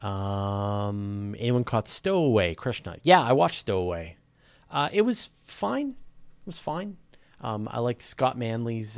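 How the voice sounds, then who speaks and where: A man with a fairly low voice talks in a casual, explanatory way, like a host taking live questions. He thinks aloud and leans on key points. The sound is dry, with very little room in it. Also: creaky, no echo. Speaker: host of a live space and astronomy Q&A.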